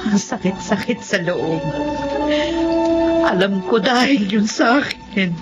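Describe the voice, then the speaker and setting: A woman's voice: medium pitch, animated, slow. An actress playing a grieving, ailing mother who blames herself, speaking in a tearful, pained way in a radio drama. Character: grieving, self-blaming ailing blind mother. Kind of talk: Tagalog radio drama acting. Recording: muffled radio drama with music underneath.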